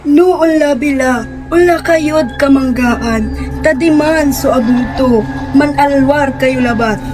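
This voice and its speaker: A woman playing an elderly village storyteller with a Pangasinan accent in a student radio drama, slow and deliberate. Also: sits high, rough recording. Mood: cautioning. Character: elderly village woman recounting a tragic, frightening tale. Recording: loud music bed under voice.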